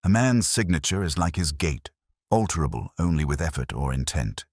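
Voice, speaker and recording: A man voicing an incisive Victorian detective in an audiobook, with formal, measured, probing questions. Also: a bass voice, expressive, darkish tone, no room sound, clean studio sound. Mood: musing. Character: Victorian male detective, incisive, intrigued and commanding.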